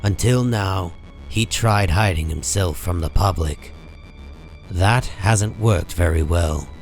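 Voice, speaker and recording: A man narrating a comic book story in a grave, measured, dramatic style. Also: sits low. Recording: music under the voice.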